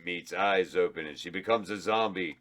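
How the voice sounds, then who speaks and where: A man reading stage directions at a table read, flat and matter-of-fact. His voice is quite deep. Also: moderate pace. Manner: flat stage directions, occasional self-corrections.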